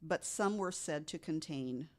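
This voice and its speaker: A low-voiced woman recounts tales in a conversational podcast style. She phrases things deliberately and leans on key words for emphasis. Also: lively intonation. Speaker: podcast guest storyteller. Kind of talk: conversational storytelling of ghost stories.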